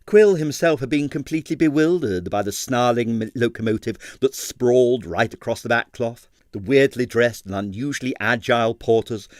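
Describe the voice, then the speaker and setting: A man reading a comic 1930s detective novel aloud as dramatised narration, with expressive, wry delivery. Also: medium pitch, moderate pace, hardly any pauses, no echo, studio quality. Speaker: audiobook reader.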